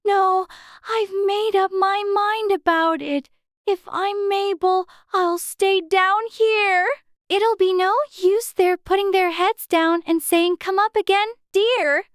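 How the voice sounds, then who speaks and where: A woman's voice: extremely high pitch, steady tone, slow pace, no echo, studio quality. A woman voicing an anxious, chatty little girl in a dramatized storybook, fretfully talking to herself in prim childish exclamations. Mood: forlorn, fretful. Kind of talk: dramatized audiobook character acting.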